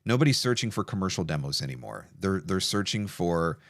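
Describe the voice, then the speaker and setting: A middle-aged man with a low-pitched voice speaks as a webinar host. His delivery is conversational, enthusiastic and emphatic, and the sound is dry with hardly any room in it. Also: animated, a little fast, dry recording. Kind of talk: casual interview and product pitch.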